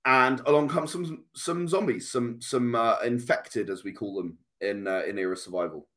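A man speaking as an interview guest, explaining and storytelling conversationally, halting and repeating words as he goes. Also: medium pitch, a bit bright. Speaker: podcast guest, comic writer.

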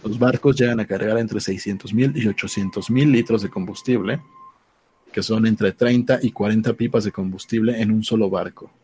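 A man, calmly reading and explaining news items in a casual discussion. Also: fairly low pitch, normal speed, slightly rough. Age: 30s.

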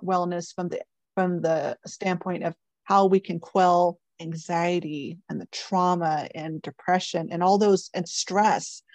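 A woman's voice: fairly low pitch, darkish tone, no echo. A woman hosting a podcast, chatting informally and emphatically as she speaks straight to her listeners. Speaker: podcast host and caregiver coach. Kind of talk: podcast intro and interview chat.

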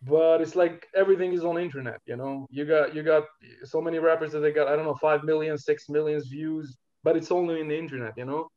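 A man from Algeria, a rapper guest talking in a relaxed interview, hesitant and full of fillers. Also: a little high, fast, few pauses, muffled recording. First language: Algerian Darija. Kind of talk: casual interview talk.

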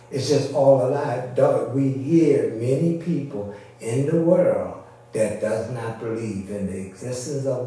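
Male preacher, low and unhurried, explanatory informal sermon, very echoey distant church recording with faint hum. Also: mellow. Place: church service, indoors.

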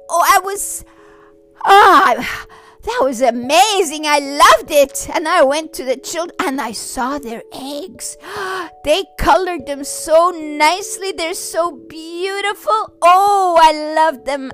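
Jippy, a woman doing a squealing excited little boy. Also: high voice, heavily acted. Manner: breathless, gushing, gasping with wonder. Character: excited little boy turned Easter helper.

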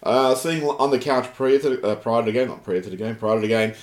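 A man's voice: medium pitch, brisk, darkish tone, roomy sound. A man with an Australian accent co-hosting a casual sports podcast, blunt and rambling, with some swearing. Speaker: podcast co-host.

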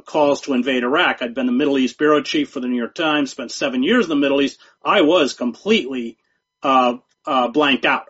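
A man speaking as a guest journalist in an interview, talking in a measured, emphatic way and often repeating words. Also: mid-range voice, fairly bright tone, breathy edge. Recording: dull lo-fi sound.

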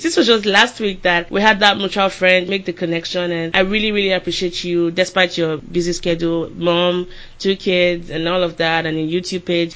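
A podcast host talks casually and rambles, full of ums and restarts. The voice sits at middle pitch. The audio is dull and lo-fi. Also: a bit bright. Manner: rambling, filler-heavy, many restarts. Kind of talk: casual interview chat.

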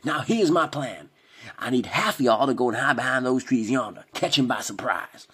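A man voicing a deluded Englishman who talks in a put-on cowboy drawl, loud and bossy. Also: mid-range voice, lively intonation, moderate pace, breathy edge, pitched above the performer's own voice. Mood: blustering.

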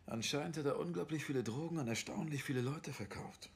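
An actor playing a brisk, matter-of-fact police investigator with a dry delivery in a dubbed TV drama. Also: male voice, on the high side, creaky.

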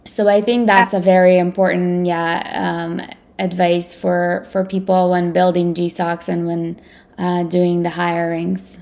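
A woman's voice: fairly low pitch, flat delivery, measured pace, a little mellow. A woman from Ukraine, a native Russian speaker, talking thoughtfully and a bit hesitantly in a conversational interview. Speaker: interviewer, security professional.

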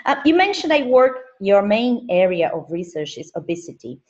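A woman's voice: medium pitch, sing-song, normal speed, darkish tone. Woman podcast host on dull call audio. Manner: hesitant, restarts sentences. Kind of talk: podcast interview. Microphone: home setup over digital platform.

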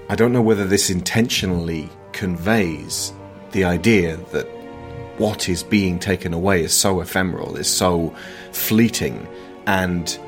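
A man hosting a film podcast, talking reflectively in earnest, deliberate phrases. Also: low voice.

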